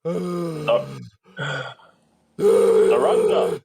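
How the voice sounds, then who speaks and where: A woman voicing an imperious villain prince who demands surrender in measured lines at a table read. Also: sits low, creaky, dark tone, slight background noise, heavily acted. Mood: haughty, stern.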